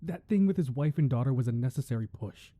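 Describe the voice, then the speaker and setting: A man playing a weary, menacing crime boss with a tender side, in a controlled, deliberate ASMR roleplay delivery. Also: medium pitch, animated, mellow, dry recording, lightly acted. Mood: cold, irritated. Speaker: audio roleplay voice actor. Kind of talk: ASMR roleplay acting, close and dramatic.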